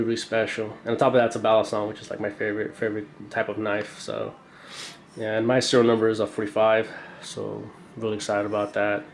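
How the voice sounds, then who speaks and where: Congested man rambling, flat tone. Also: on the low side. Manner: rambling, many fillers, slangy and excited. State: congested from a cold. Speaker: knife collector reviewing a purchase. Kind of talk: casual unboxing ramble. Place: indoor room, camera over a tabletop. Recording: roomy echo, faint background hiss.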